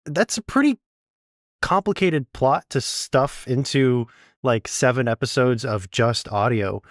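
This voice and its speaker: A man interviews in a casual, conversational style. His voice sits at an average pitch. The recording is dry and close, with very little room sound. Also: unhurried, no room sound.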